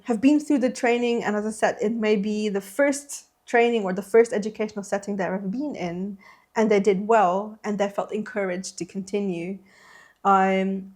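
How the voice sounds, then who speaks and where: A woman's voice: medium pitch, darkish tone. A woman whose first language is Slovene, answering interview questions on a podcast in a thoughtful, self-deprecating way with many fillers. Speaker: interpreter trainer.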